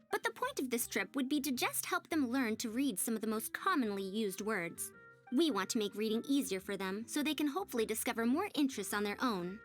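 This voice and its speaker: A woman's voice: fairly high pitch, fairly bright tone. A woman playing a prim, proud but kindly young-sounding researcher in a video game, speaking formally and articulately in wordy, old-fashioned phrases. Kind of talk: scripted video game character dialogue.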